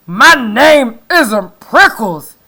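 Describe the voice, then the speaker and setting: A woman voicing a grumpy male cactus in a children's storybook, yelling curtly and indignantly at visitors. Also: fairly high pitch, sing-song, very slow, voice pushed up, full character voice. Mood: angry, yelling. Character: grumpy male cactus who hates visitors, shouting angrily.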